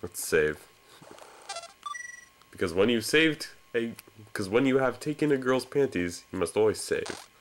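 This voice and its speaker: A man's voice: medium pitch, animated, a little slow, long pauses, a little hiss or hum, rough recording. A young man giving casual, off-the-cuff video game commentary in a joking, self-deprecating manner. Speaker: let's play commentator. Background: video game music.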